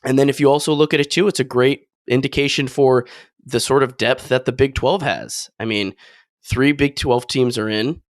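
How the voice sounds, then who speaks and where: A man co-hosting a casual sports podcast, upbeat and reasoning through his points. His voice sits at an ordinary male pitch and sounds close and dry, with no room echo. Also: fairly even intonation, normal speed. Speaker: podcast co-host.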